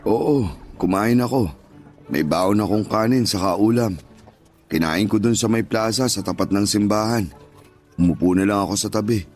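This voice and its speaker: A low-pitched, weary older peddler played by a male actor in a radio drama. He speaks plainly and modestly, with faint music behind him. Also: moderate pace, darkish tone, close to an everyday voice. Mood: weary, grateful. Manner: plain-spoken, modest, grateful. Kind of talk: Tagalog radio drama acting.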